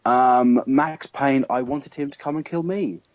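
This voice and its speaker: A man's voice: mid-range voice, measured pace, no echo. A man guesting as a film blogger on a casual panel, giving wry, opinionated verdicts. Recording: dull lo-fi sound, dry.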